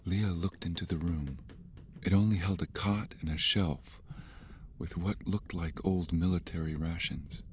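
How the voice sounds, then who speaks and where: An actor narrating a dark horror audio drama in a measured, ominous, grave manner. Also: male voice, low-pitched, steady tone, soft background music.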